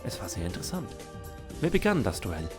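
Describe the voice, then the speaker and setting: A man's voice: lowish voice, huge pitch swings, quick pace, music in the background. A man reading scripted first-person narration for a fan audio drama.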